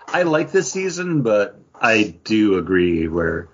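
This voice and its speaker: A man's voice: lowish voice, big pitch swings, slow, highs cut off, slight background noise. A man hosting a podcast in casual, chatty fan talk. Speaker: podcast host.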